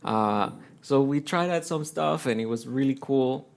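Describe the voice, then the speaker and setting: A man's voice: medium pitch, a little slow, vocal fry. A young Colombian man with a Spanish accent, a guest in an interview, talking casually and telling stories with many fillers. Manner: relaxed, storytelling, with frequent fillers and laughs. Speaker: guest, teacher and violinist.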